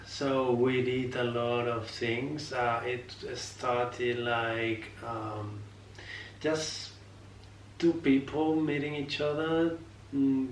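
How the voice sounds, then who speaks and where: A man's voice: mid-range voice, level tone, extremely slow. A young man from Spain with a Spanish accent, chatting casually on a vlog and hesitating often as he hunts for words in his second language. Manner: hesitant, many filler sounds, apologetic about his English. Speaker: vlogger. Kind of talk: casual chat. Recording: echoey room, mic set far off, faint noise.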